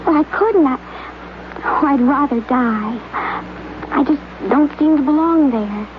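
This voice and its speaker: An actress playing a young female office assistant, soft, hesitant and earnest, in 1950s radio drama style. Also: high-pitched, measured pace. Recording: tinny old radio broadcast with hiss.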